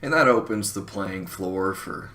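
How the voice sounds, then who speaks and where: A man's voice: low-pitched, measured pace, a bit bright. A man with a Southern US accent telling a casual comic anecdote in a wry, self-mocking, wordy way. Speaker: storyteller, show host.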